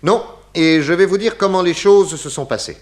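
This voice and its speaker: A man playing a shrewd, assured detective in a 1960s French radio play, speaking formally with deliberate enunciation. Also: high-pitched, lightly acted. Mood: accusatory, triumphant. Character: shrewd, assured male detective laying out his case.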